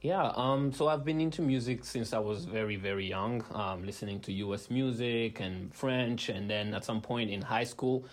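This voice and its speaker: A young man from the Democratic Republic of the Congo, a rapper, answering interview questions in a relaxed way with many hesitations. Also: on the low side, moderate pace, few pauses. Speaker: guest, rapper.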